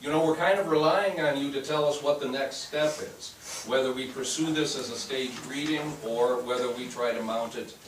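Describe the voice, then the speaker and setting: A man's voice: mid-range voice, moderate pace. Man addressing a theatre, distant and echoey. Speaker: theatre company host. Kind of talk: informal address to audience, inviting feedback. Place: indoor theatre stage. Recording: roomy theatre, distant mic, noisy.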